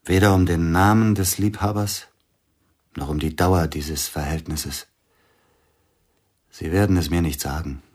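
Radio drama casting: an older grieving pianist, very deep, husky, brooding, hushed. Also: male voice, measured pace, long pauses. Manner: brooding, drops to hushed near-whispers. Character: grieving older male pianist, bitter, suspicious. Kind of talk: radio play acting.

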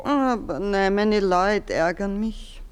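A domineering old baroness, played by an actress gives orders in clipped, impatient phrases. Her tone is brisk and sharp-tongued, the voice of someone used to being obeyed in a radio play. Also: medium pitch, smooth, close to an everyday voice. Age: older. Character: imperious aging baroness, mistress of the house.

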